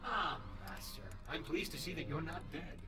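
An actor playing an eager, courteous droid servant in a video game, formal and chirpy. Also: male voice, a little high, big pitch swings, normal speed, roomy sound, distant mic, over music. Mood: polite, eager. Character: polite, eager humanoid droid servant, cheerfully homicidal. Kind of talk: cinematic video game voice acting.

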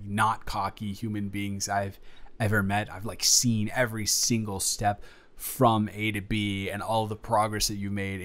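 A man's voice: fairly low pitch, fairly bright tone. A young man playing a nervous, devoted friend in an improvised romantic audio roleplay, talking conversationally with stammers and rambling. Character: nervous, devoted young male friend about to confess love.

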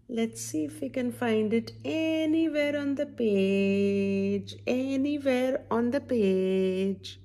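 A middle-aged woman reads a picture book aloud to young children. Her pitch swings widely in a sing-song, coaxing way, and she takes her time. There is some gravelly fry in her voice as she asks questions and comments on the pages. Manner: animated, sing-song, coaxing teacher-like delivery. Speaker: children's story-time host. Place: small indoor room at home.

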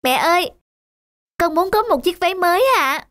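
A woman voicing a wistful little schoolgirl in an animated moral tale, plaintive and childlike, with polite words for elders. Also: very high voice, moderate pace, background noise. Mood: pleading. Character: little schoolgirl, wistful, longing for a new dress. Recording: background music under the voice.

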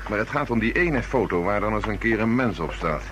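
Actor as probing police inspector, noisy recording. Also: male voice, on the low side, animated, brisk, natural voice. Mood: brisk, dry. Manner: questioning, matter-of-fact. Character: probing, businesslike male police chief inspector, middle-aged. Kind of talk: Dutch radio drama dialogue. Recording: noisy old radio broadcast recording.

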